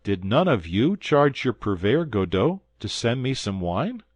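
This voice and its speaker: An earnest young swordsman hero, played by a male reader, speaks with urgency and fervor, lifted above the reader's low natural voice. His lines move with lively pitch, close and dry. The tone is a classic adventure storybook. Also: a little slow, no echo. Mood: urgent. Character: young male guardsman hero, passionate and alarmed.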